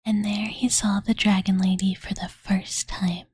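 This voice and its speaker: A woman voicing a cute, devoted young dragon girl who softly tells her master a bedtime story in a cozy ASMR roleplay, with cutesy, filler-heavy speech. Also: mid-range voice, little pitch movement, unhurried, no echo. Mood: cozy, musing. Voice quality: soft breathy whisper. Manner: valley-girl filler-laden, soothing, cutesy third-person speech. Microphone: close-up studio condenser microphone, dry.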